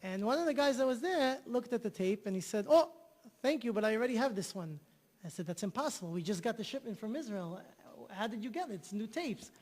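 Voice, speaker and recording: High-pitched guy telling a story all excited, long echoey hall. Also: brisk. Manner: enthusiastic, repeats himself. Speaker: guest, charity organizer. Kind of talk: storytelling in a TV interview.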